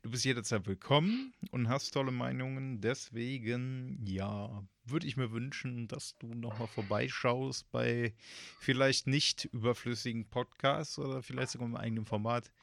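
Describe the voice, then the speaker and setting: A man's voice: medium pitch, steady tone, normal speed, vocal fry, a bit bright, no echo. A man hosting a casual podcast, rambling and joking with lots of fillers. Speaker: podcast host. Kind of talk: casual chat.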